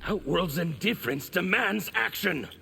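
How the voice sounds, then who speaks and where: A man's voice: sits high, expressive, moderate pace, vocal fry, sharp tone, husky, exaggerated voice. An actor playing a defiant revolutionary in a video game, declaiming his cause with passion. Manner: impassioned, declamatory. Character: fervent male revolutionary rebel, defiant agitator. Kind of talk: video game fight-intro dialogue.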